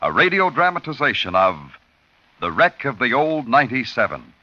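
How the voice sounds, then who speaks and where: An American man announcing a suspense radio show in a formal, dramatic, deliberate 1950s style on a vintage recording with music behind him. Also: medium pitch, big pitch swings, sharp tone, no room sound, dull audio.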